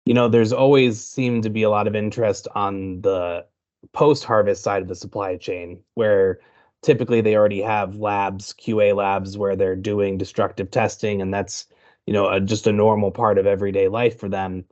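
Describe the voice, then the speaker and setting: A man hosts an interview, asking questions in a low voice with a friendly manner and many fillers. His call audio sounds dull and lo-fi. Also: fairly even intonation, moderate pace, a little mellow. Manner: friendly, filler-heavy phrasing. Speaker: host interviewer. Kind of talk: company interview.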